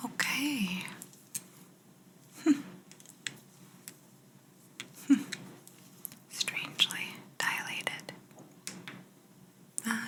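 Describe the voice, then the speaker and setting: Woman playing a slow, whispering alien examiner. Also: a little high. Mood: soothing, clinical. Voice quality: soft, breathy whisper. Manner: slow, soothing, politely clinical with playful condescension. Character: calm, teasing female alien examiner abducting a human. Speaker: ASMR roleplay performer. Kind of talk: whispered ASMR personal-attention roleplay.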